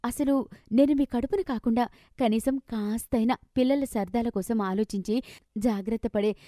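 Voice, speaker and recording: A woman voicing a frustrated, bitter young daughter in an animated story, complaining emphatically. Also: fairly high pitch, moderate pace, no echo, studio quality, voice pushed up, lightly acted. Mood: bitter complaint.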